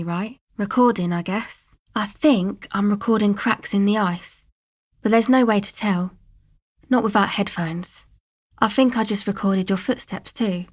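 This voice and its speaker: A woman's voice: mid-range voice, lightly acted. An actress playing a guarded, laid-back young streetwise woman in a video game, talking in a casual, slangy, dry way. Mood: dry, offhand. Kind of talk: video game character dialogue, conversational.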